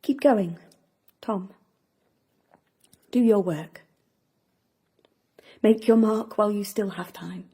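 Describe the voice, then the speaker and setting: An actress playing a wistful married woman in an audio drama, with a breathy, whispery voice and solemn delivery. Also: medium pitch, takes it slowly, long pauses, warm tone, full character voice. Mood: urging. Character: wistful married woman, Mrs Senior, reflecting on mortality.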